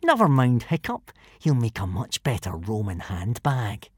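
A man's voice: medium pitch, wildly swooping pitch, a little slow, breathy edge, no room sound, clean studio sound, exaggerated voice. A man voicing a smug young Viking bully in a storybook, drawling, jeering and mocking.

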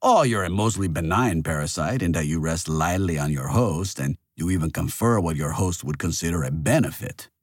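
An imperious artificial monarch, centuries old, performed by a male audiobook narrator, who lectures with theatrical certainty. The pitch leaps high on stressed phrases and swings widely, in a very dry recording. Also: lively intonation, moderate pace, hardly any pauses, slightly rough, no room sound. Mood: emphatic, lecturing.

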